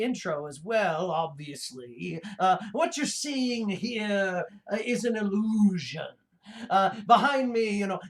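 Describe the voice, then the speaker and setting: Pompous reptilian mad scientist, slow and gloating, mid-register, rambling comic livestream villain. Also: medium pitch. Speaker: host of a livestream show. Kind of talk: comic in-character villain monologue.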